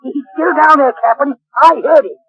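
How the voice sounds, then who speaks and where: A man's voice: very high-pitched, moderate pace, a bit bright, no echo, lo-fi sound. An actor playing a sly, servile pirate henchman in a radio drama, with a fawning, sniggering manner.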